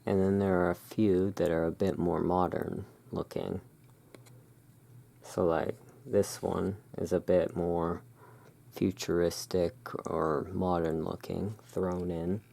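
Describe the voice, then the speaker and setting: Slow, low, creaky ASMR emporium keeper. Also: level tone. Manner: unhurried, hushed, pausing between short phrases. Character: owner of a dark gothic curiosity emporium. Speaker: ASMR roleplay host as curiosity emporium owner. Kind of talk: soft-spoken ASMR tarot card showing.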